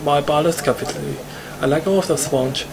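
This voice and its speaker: A man reading visual novel narration aloud on a live stream, haltingly and with stumbles. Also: fairly high pitch, fairly even intonation, vocal fry, a crowd in the background, rough recording. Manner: halting, stumbling over the script.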